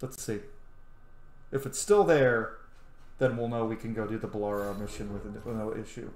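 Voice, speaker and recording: A man's voice: on the low side, steady tone, normal speed, darkish tone. A man giving chatty, casual video game commentary, thinking aloud and swearing now and then. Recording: roomy echo, light background noise.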